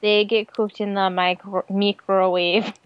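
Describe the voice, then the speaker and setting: A woman's voice: mid-range voice, little pitch movement, unhurried, clean tone, dry recording. A woman co-hosting a podcast over an internet call, bantering casually with dry, playful humor. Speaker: podcast co-host. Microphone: microphone over internet call. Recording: dull, noisy internet call with clicks.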